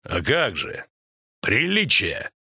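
A man voicing a calm, stern islander lord with a sullen, cutting manner in a fantasy audiobook. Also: lowish voice, normal speed, husky, dry recording, clean studio sound.

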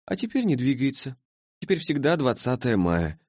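A man's voice: medium pitch, very animated, moderate pace, a little mellow, no room sound, clean studio sound, voice pushed up. A man voicing a languid, sleepy female being from the far future in an audiobook, with a listless, clinical drawl.

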